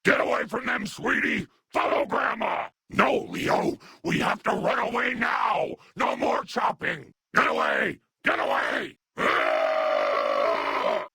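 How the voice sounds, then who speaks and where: A man voicing a doting, scolding, unhinged elderly mutant grandmother in a video game, with a gravelly, husky, breathy voice and cooing pet names. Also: mid-range voice, huge pitch swings, takes it slowly, bright, forceful tone, no echo, full character voice. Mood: panicked. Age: older. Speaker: video game companion character. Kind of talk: video game character acting.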